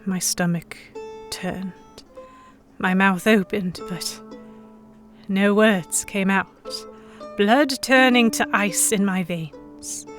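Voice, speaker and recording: Woman, medium pitch, scripted story narration, expressive, dramatic, first-person audio drama. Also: slow, long pauses, faint music behind.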